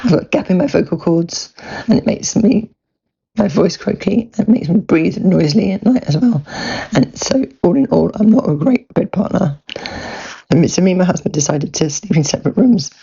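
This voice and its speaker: Croaky, breathy woman in her fifties. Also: on the low side, expressive, moderate pace, warm tone, muffled recording. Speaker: listener sending a voice message. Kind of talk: recorded personal message.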